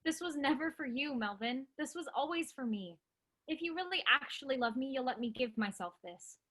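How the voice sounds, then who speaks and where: A woman's voice: high-pitched, expressive, fairly quick, sharp tone, exaggerated voice. An actress playing a headstrong, wry young woman in a staged play reading. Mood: defiant.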